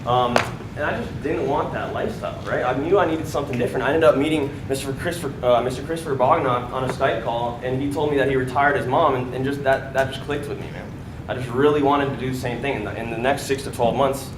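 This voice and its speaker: A man's voice: mid-range voice, fast. Young man telling his story on stage. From United States. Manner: casual, many fillers and "right?" tags. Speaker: speaker at a sales event. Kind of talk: motivational testimonial on stage. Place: large event room before an audience. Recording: echoey room with background noise.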